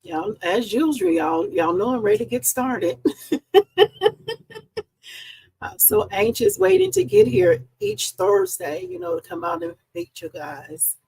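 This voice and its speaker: A woman hosting a live crafting stream from her home craft room, chatty and upbeat, slightly hoarse because she is losing her voice. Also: on the high side, sing-song, a little slow, a little mellow, poor audio. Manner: chatty and upbeat, greets viewers by name. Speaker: host and embroidery business owner. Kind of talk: casual live-stream chat. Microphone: live-stream setup.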